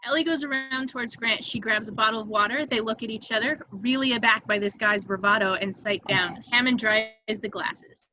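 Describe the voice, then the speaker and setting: A woman's voice: on the high side, fairly quick, hardly any pauses, sharp tone, dull audio, a little hiss or hum. A woman reading stage directions as scripted narration for a table read.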